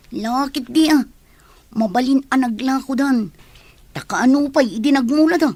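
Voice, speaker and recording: A woman's voice: high-pitched, a little slow, darkish tone, dry recording. A woman playing a carefree, work-shy student daughter in a radio comedy drama, grumbling casually.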